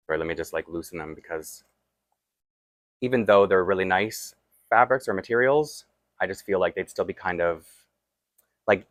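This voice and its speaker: A man with a Toronto accent talks through a doll unboxing in a loose, rambling way, poking fun at himself as he goes. His voice sits low, and the sound is dry and close with no room echo. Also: a bit bright. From Toronto, Canada. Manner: chatty and self-deprecating, rambling asides while handling a doll. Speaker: doll collector vlogger. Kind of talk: casual unboxing chat.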